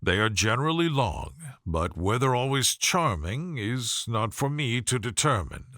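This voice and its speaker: A reserved, haughty gentleman, played by a man reading an audiobook. He speaks in measured, formal phrases with a bright, dry sound and a cool, curt temper. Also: mid-range voice, big pitch swings, no room sound. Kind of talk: audiobook character voice.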